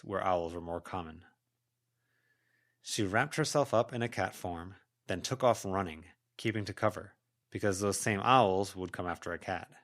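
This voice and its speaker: A man reading scripted fiction as an amateur audiobook narrator. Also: deep voice.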